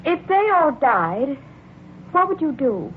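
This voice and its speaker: A jealous, fretful wife in a forties radio play. Her high voice swoops emotionally as she pleads. The old broadcast recording is narrow and muffled, with some hiss. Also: sing-song, slow, heavily acted. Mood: wistful, uneasy. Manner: plaintive, emotional. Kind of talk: 1940s radio drama acting.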